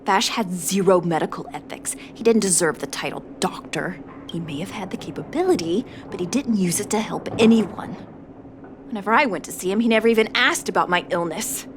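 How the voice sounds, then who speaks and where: A woman's voice: mid-range voice, brisk, background noise, natural voice. A woman playing an indignant, outspoken patient in a video game, blunt and accusing. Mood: indignant, scornful. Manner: blunt, accusing, rhetorical questions.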